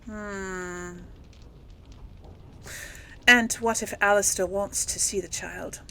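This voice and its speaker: A woman's voice: medium pitch, steady tone, slow pace, vocal fry, pushed and bright, slight background noise. A woman hosting a casual role-play game playthrough, thinking aloud and hesitating as she goes. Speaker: video game player and series host.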